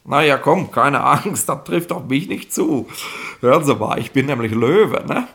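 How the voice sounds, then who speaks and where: An actor in a 1960s German radio comedy playing a chatty, self-important police inspector who explains things in rambling, condescending colloquial speech. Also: male voice, mid-range voice, animated, measured pace, gravelly, fairly bright tone. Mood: pompous, instructing. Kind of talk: 1960s German comic radio play acting.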